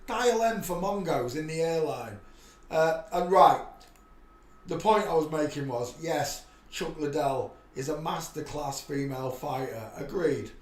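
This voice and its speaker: A man's voice: a little high, a little slow. Rambling preachy man in an echoey room. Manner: preachy, rambling, with frequent restarts and loud outbursts. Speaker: livestream host. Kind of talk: rambling live-stream monologue.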